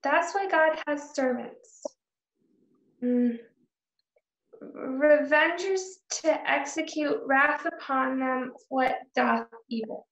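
Woman playing a solemn, high-voiced pious girl. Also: fairly bright tone, full character voice. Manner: earnest, measured, reciting. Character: solemn, pious young daughter quoting scripture. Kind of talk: radio drama read-through. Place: home room, remote video call. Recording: dull, roomy video call.

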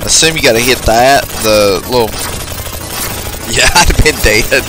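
A man's voice: mid-range voice, slow pace, background noise. A man giving casual, off-the-cuff commentary as he goes through a video game. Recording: game music behind the voice. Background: video game music.

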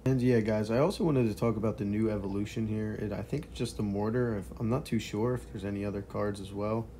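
Hoarse low-voiced young man gaming. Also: quick pace, a little hiss or hum. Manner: relaxed, chuckles quietly while playing. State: hoarse, partly lost voice. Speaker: mobile game streamer. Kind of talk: casual gameplay commentary.

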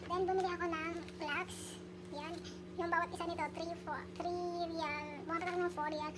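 A woman's voice: very high-pitched, little pitch movement, normal speed, heavy background noise. A young woman with a Filipino accent chats casually as a vlogger, mixing in English words, on an amateur phone video. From Philippines. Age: young adult. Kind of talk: casual chatty shopping haul vlog. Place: supermarket aisles, later a small room at home.

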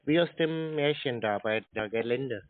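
A man chatting casually on the phone as a prank caller, with a deadpan, naive-sounding manner. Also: medium pitch, normal speed. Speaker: host, scam-baiting prank caller. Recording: noisy narrow phone-like sound with clicks.

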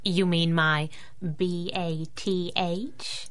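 An actress playing a teasing young superhero sidekick in a 1930s radio drama, with a pert, playful manner. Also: female voice, on the low side, fairly even intonation, very slow, a bit bright, clean tone.

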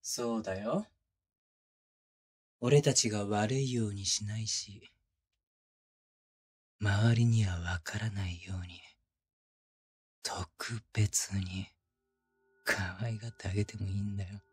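A man playing a teasing, sadistic young twin interrogator in an ASMR roleplay, breathy and whispering close to a binaural mic, coaxing and taunting. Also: lowish voice, steady tone, slow, long pauses, heavily acted. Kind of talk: ASMR roleplay audio drama. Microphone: close binaural microphone.

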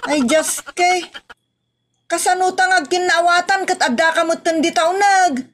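A woman playing a brisk, nagging village woman in a comedy drama, chiding and chatty with an Ilocano accent. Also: extremely high pitch, background noise. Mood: scolding.

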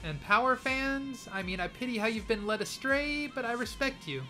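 Male anime YouTuber, bright tone, playful fake-dramatic delivery, close mic. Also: extremely high pitch, expressive, moderate pace, faint music behind. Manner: mock-serious, punning, playfully dramatic. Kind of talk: scripted video commentary.

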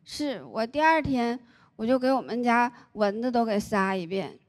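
A woman, doing a stand-up comedy set into a handheld mic on a large stage, telling stories deadpan and landing punchlines. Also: a little high, normal speed. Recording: live audience laughter noise. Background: audience laughter.

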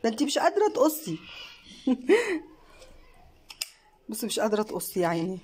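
A woman's voice: fairly high pitch, big pitch swings, a little fast, slight background noise. Egyptian woman praising behind a phone. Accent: Egyptian Arabic. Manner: warm praising, encouraging, mixes in many fillers. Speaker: craft channel host and mother. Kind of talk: casual home craft vlog, talking behind the camera. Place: living room at a home table. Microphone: phone camera held by the speaker.

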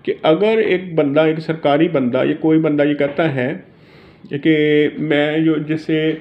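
A man with a Pakistani accent giving an informal lesson as a teacher, reading out and explaining in a halting way. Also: fairly high pitch, fairly even intonation, dull audio. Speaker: law teacher.

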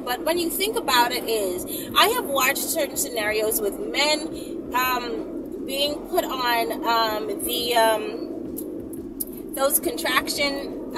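A woman, talking emphatically and sassily to camera in a casual, opinionated vlog, often tagging points with a questioning okay. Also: fairly high pitch. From the Bahamas. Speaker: vlogger. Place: inside a moving car. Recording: distant mic, noisy moving car. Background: road and vehicle noise.

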